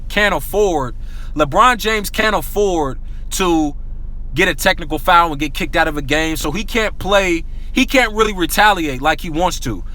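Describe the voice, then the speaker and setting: A high, bright-voiced man fires off a hyped basketball rant full of slang and repetition. His voice sounds worn, like he is losing it, and he keeps calling out to his viewers. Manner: excitable, repetitive, slangy, addresses viewers as y'all. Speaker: sports talk vlogger.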